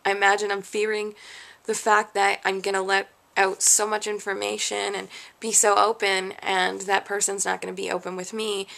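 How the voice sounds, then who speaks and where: Vlogger, young adult woman; heavy vocal fry; frustrated, self-questioning rant. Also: medium pitch, flat delivery, moderate pace, bright, forceful tone. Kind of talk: candid rant to camera.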